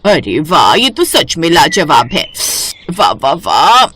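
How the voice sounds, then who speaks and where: A woman's voice: low voice, very animated, slow, bright, forceful tone, a little hiss or hum, voice pushed down, exaggerated voice. A woman voicing a stubborn, scolding old mother-in-law in a cartoon moral story. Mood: delighted. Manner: scolding, emphatic. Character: grumpy, stubborn old mother-in-law, easily won over.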